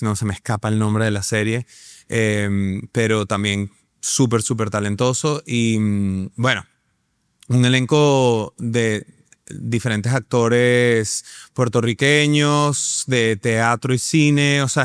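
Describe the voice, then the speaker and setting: A man's voice: medium pitch, smooth, no room sound, studio quality. A man in his thirties with a Venezuelan accent, an actor talking as a guest in a casual interview, enthusiastic and full of fillers and restarts.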